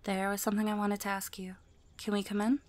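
Casting: actress as guarded, composed mother, medium pitch, dry recording, audio drama. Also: little pitch movement, quick pace, no room sound, natural voice. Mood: polite, guarded. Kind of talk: audio roleplay acting.

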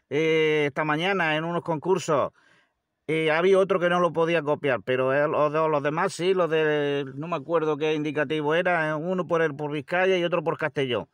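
A man's voice: a little high, a bit bright, no echo. A man with an accent from Spain chatting casually as an amateur radio operator inside a car. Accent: Spanish from Spain. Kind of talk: casual ham radio contact.

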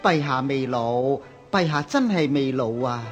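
An actor playing a fawning, scheming palace eunuch in a historical radio drama, obsequious and coaxing. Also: male voice, mid-range voice, animated, measured pace, faint music behind, lightly acted. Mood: flattering, consoling.

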